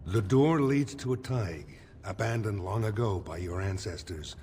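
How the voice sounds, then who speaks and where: An actor playing an authoritative dwarf lord in a fantasy game, speaking formally and in measured phrases. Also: male voice, on the low side, a little mellow, slight background noise, natural voice. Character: authoritative male dwarf lord briefing troops.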